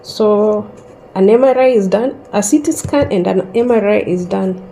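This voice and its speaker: A woman in her thirties slowly telling a personal story in a calm, reflective way, pausing often. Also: mid-range voice, a little hiss or hum, poor audio. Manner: slow and reflective, with long pauses. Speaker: host sharing a personal story.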